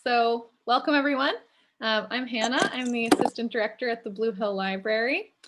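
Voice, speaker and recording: A woman hosting an online community event with an informal welcome, speaking in a somewhat halting way. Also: on the high side, steady tone, fairly bright tone, poor audio. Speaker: host, assistant library director.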